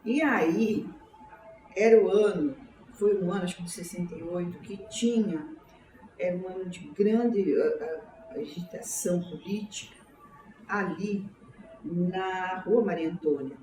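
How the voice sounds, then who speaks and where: An older woman in her sixties speaks with a São Paulo Brazilian accent in a lowish, mellow voice. She reflects on her life as an interviewee, haltingly, repeating words as she goes. The room echoes clearly around her. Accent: São Paulo Brazilian Portuguese. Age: 60s. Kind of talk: oral history interview, autobiographical recollection. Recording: roomy, distant mic, faint background noise.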